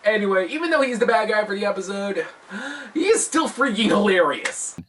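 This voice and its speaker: A bright-voiced man hosts a countdown video with animated, self-deprecating commentary. His pitch swings widely and sits a little high. He sounds somewhat distant from the microphone. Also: moderate pace, a little hiss or hum. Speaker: host of a video countdown show. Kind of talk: scripted video commentary with jokey asides.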